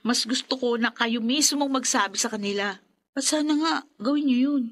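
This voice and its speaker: A woman's voice: on the high side, moderate pace, lightly acted. A woman playing a reproachful young woman. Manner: reproachful and firm. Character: righteous young woman, scolding. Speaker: friend who knows the truth. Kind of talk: radio drama acting.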